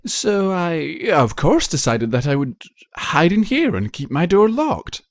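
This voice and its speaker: A man plays a genteel young lady unicorn from a cartoon-based story, theatrical and fretful. The pitch sits high and swoops about with fussy drama. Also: big pitch swings, a little slow, no echo, clean studio sound. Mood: nervous fibbing. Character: refined, dramatic, fretful young female unicorn fashion designer.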